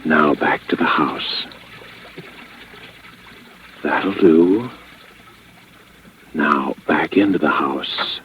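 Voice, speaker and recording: A man's voice: a bass voice, dull audio, slight background noise, full character voice. An actor playing a desperate, cold murderer scheming his perfect crime in a brooding, theatrical old radio drama monologue. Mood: tense scheming. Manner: theatrical, brooding, halting self-talk. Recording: band-limited old radio recording with music behind.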